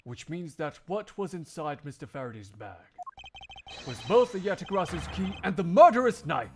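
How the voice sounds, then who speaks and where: A man playing a shrewd, composed young male prosecutor in a video game fandub, formal and deliberate. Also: a little high, lively intonation, music in the background, natural voice. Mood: confident, pressing.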